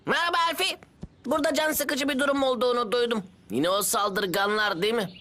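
A woman voicing an eager, naive young male police officer in a cartoon, excitable and hesitant when caught out. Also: a little high, a little fast, natural voice. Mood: eager, sheepish. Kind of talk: animated cartoon dub acting. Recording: music behind the voice.

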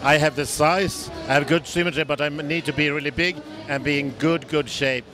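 A man in his forties with a Swedish accent, answering interview questions in halting English with many restarts, speaking into a handheld mic at a busy expo booth. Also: fairly high pitch, fairly even intonation. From Sweden. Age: 40s. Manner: halting, non-native grammar, frequent restarts. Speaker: bodybuilder guest. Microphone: handheld mic held by interviewer. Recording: noisy trade show floor.

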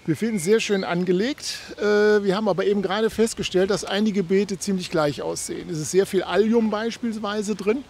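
A man's voice: high voice, steady tone, brisk, hardly any pauses, darkish tone. A man giving considered answers as a visitor in an unscripted street interview. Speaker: garden show visitor interviewed. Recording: outdoor interview with ambient noise.

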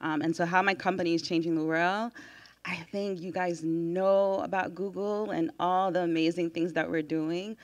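A woman speaking on a panel into a handheld mic, measured and calm. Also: lowish voice. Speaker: panelist, diversity manager. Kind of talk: panel introduction to students. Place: stage at a large event space. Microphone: handheld microphone.

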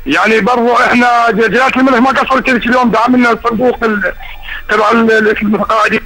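A man's voice: very high-pitched, fairly even intonation, quick pace. An older man with a Jordanian Arabic accent, a retired soldier, calling in to a live show and complaining in an aggrieved tone. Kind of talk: phone-in call. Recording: phone line with faint music.

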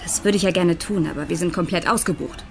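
An actress playing a polite, composed cruise ship receptionist, apologetic and formal, in naturalistic radio drama style. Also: female voice, fairly low pitch, quick pace, gravelly. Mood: apologetic, firm. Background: music.

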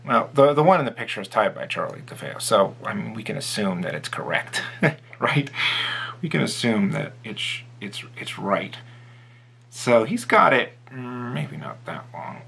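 Gravelly man muttering over his tying. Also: mid-range voice, a little slow. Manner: relaxed, thinking aloud, muttering under his breath while working. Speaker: fly tying instructor. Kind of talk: casual tying tutorial. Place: indoor tying desk.

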